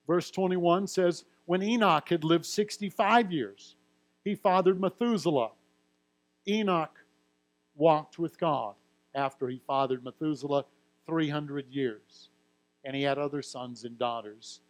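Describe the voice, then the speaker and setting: A man with a somewhat high-pitched voice gives a teaching sermon. He reads long lists of names and ages from scripture, then explains them to the congregation in a plain, friendly way with occasional light humor. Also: unhurried, poor audio. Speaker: pastor.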